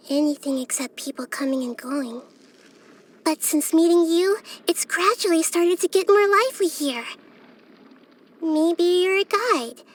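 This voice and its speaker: A woman voicing a childlike girl god of wisdom for a video game, gentle, curious and thoughtful, speaking softly and carefully. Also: high-pitched. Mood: gentle, hopeful. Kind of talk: scripted video game character voice lines.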